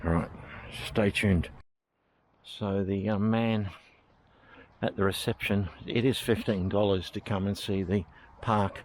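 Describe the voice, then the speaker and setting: Deep-voiced Australian man touring. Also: fairly even intonation, measured pace, slight background noise. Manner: chatty, thinking aloud with fillers. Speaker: travel vlogger. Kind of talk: casual walking tour commentary. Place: outdoors at a historic village.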